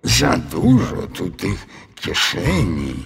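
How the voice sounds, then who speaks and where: Calm, absent-minded storybook dragon played by a male narrator: low, husky, kindly, very unhurried. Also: long reverb tail, heavily acted. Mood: pondering. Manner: unhurried, drawn-out, muttering to himself.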